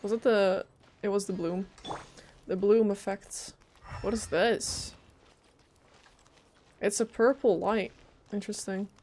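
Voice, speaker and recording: A woman's voice: medium pitch, fairly even intonation, slow pace, pauses often, darkish tone, slight background noise. A woman giving casual live gameplay commentary, thinking aloud and often questioning what she sees. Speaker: game streamer. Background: faint game music.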